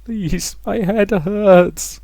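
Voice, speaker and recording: A man voicing a grief-stricken, tormented young woman, high-strung and anguished, in a visual novel reading with music behind. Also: little pitch movement, takes it slowly, a little mellow, no room sound, natural voice. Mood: distressed, pleading.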